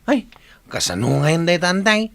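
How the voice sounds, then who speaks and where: A man's voice: sits high, sing-song, measured pace, gravelly, heavily acted. A man playing an embarrassed, whining villager with a stomach ache in a comedic radio drama, in an Ilocano Philippine accent. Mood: worried.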